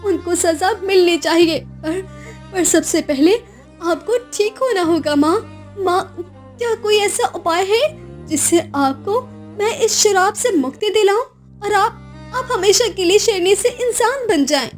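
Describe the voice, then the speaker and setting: A woman voicing an innocent, devoted young orphan girl in an animated moral story, emotional, pleading and halting. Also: very high-pitched, faint music behind. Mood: grieving, pleading. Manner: pleading, tearful, halting repetitions.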